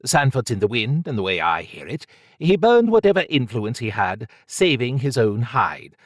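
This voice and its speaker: A man's voice: medium pitch, sing-song, moderate pace, no echo, clean studio sound, voice pushed up. A man voicing a tough, sardonic woman government agent, pointed and needling, in an audiobook. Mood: coolly threatening.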